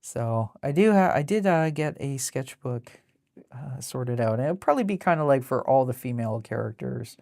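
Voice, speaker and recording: A woman with a deep contralto voice chatting casually and in a rambling way as she hosts a live drawing stream. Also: expressive, moderate pace, gravelly, darkish tone, no room sound, clean studio sound. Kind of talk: casual chat while drawing.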